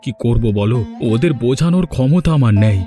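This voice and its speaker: A man playing a weary but gently wily village family man in a cartoon, talking in a plain conversational way. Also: mid-range voice, expressive, brisk, faint music behind, lightly acted. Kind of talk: cartoon dubbing.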